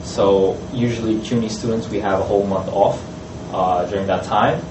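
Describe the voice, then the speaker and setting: Low-ish guy telling about a trip, lots of uhs and ums, far from mic, echoey noisy room. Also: fairly even intonation, moderate pace. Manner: hesitant, many "uh" and "um" fillers. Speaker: student panelist. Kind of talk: casual panel talk.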